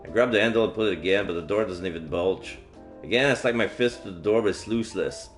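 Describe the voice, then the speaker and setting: A man's voice: on the low side, fast. Male streamer reading a game story aloud, music behind. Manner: dramatic reading mixed with offhand asides. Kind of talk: reading visual novel aloud, casual commentary. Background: video game music.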